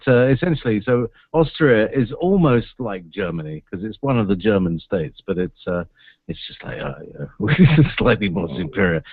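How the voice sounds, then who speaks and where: A man hosting a casual internet radio chat over a video call, rambling and halting with lots of fillers. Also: lowish voice, no room sound, narrow, tinny audio.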